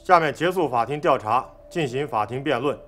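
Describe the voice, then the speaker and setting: An actor playing a stern male presiding judge in a film, speaking in a formal, authoritative and deliberate way. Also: mid-range voice, expressive, a bit bright. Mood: official. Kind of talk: formal courtroom procedure in a film.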